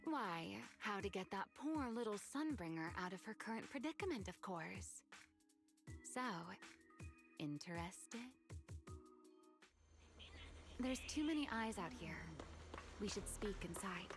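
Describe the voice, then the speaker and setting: A woman playing a confident, teasing, sly strategist in a sci-fi video game, with playful, measured theatrical delivery. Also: mid-range voice, lively intonation, lightly acted. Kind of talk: scripted video game voice acting. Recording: game music behind the voice. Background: background music.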